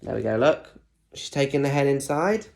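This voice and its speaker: Lively man reading a children's storybook aloud. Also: medium pitch, normal speed, vocal fry. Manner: informal, playful asides. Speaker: storybook reader. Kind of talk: expressive read-aloud for young children with chatty asides.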